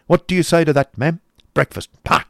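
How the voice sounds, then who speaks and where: A man's voice: medium pitch, lively intonation, moderate pace, no room sound, clean studio sound, pitched above the performer's own voice, close to an everyday voice. A man voicing a cold, miserly older uncle from a novel, biting and emphatic, testy and sarcastic.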